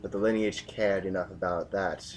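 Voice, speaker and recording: Man acting a low-voiced, stoic sci-fi military commander. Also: steady tone, normal speed, soft background music, natural voice, rough recording. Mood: solemn, reminiscing. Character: stoic, hardened male military commander, brooding and defiant. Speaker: voice actor in an audio drama. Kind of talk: dramatic sci-fi audio drama acting.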